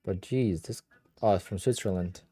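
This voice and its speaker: A man's voice: low-pitched, normal speed, warm tone, breathy edge, no echo. A man with a Polish accent, Polish his first language, hosting a casual livestream and answering viewer questions in a blunt, offhand way. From Poland. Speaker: livestream host.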